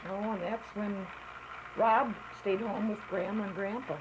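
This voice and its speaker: An older woman with a gravelly voice, chatting casually as she looks back over old family slides, often clearing her throat. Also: mid-range voice, fairly even intonation, measured pace. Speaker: family member narrating home slides. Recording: home recording with noticeable background noise.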